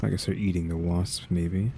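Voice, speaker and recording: A man's voice: low voice, steady tone, moderate pace, vocal fry. A man giving casual, off-the-cuff gameplay commentary, musing aloud in a wry, rambling way. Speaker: gaming streamer. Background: game music.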